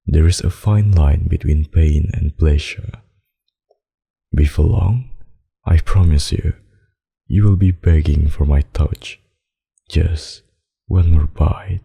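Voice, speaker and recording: A man playing a centuries-old, possessive and sadistic vampire in an intimate ASMR roleplay, speaking in a husky, silky, dominant and condescending way. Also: rumbling low, dark tone, exaggerated voice. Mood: gloating, seductive.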